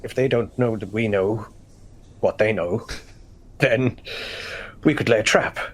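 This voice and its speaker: A man's voice: on the low side, unhurried. A man playing in a tabletop roleplaying game, improvising casually.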